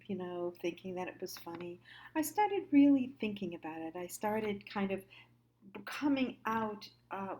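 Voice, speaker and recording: A woman hosting a storytelling series, thinking aloud in a casual, halting, conversational way with trailing thoughts. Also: mid-range voice, a little mellow, slight background noise. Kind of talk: casual reflective monologue.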